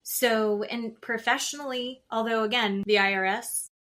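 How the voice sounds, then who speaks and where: A woman with a fairly high voice co-hosts a casual podcast. She talks informally, in loose conversational phrasing, as she recaps television. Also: steady tone, normal speed, fairly bright tone. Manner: informal, peppered with "like", quotes people conversationally. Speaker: podcast co-host.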